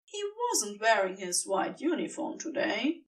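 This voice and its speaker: Lady narrating a story, slow and really animated, sounds a bit away from the mic. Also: medium pitch, distant mic.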